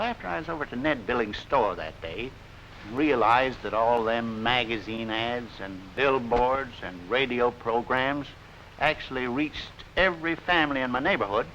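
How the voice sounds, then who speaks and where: Bright-voiced folksy American gas dealer. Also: male voice, mid-range voice. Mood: enthusiastic. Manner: folksy, colloquial, emphatic salesman talk. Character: folksy, eager small-town filling station dealer. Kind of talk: 1940s sponsored film acting, scripted pitch. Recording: hissy, dull 1940s film soundtrack.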